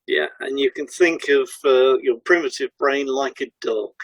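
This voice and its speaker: Slightly husky man, dry sound. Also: mid-range voice, normal speed, dry recording, poor audio. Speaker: podcast co-host and hypnotherapist. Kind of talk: scripted podcast dialogue, explaining.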